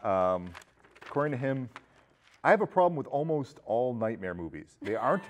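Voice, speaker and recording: A man's voice: mid-range voice, sing-song, normal speed, slight background noise. A man hosting a show, talking casually about films in conversation. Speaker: movie podcast host. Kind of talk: casual film discussion.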